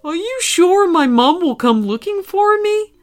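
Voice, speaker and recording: Woman voicing a little boy dinosaur, high, bubbly, excitable, storybook, soft music behind. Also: unhurried. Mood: earnest. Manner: bubbly, excitable, stammers when upset.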